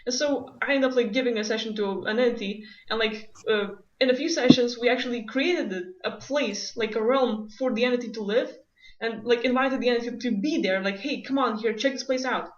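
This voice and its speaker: A woman's voice: on the high side, brisk. A woman from Lithuania with a Lithuanian accent, hosting a casual conversation and laughing often. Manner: laughs often, many "like" fillers. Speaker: host. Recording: echoey, distant, dull sound.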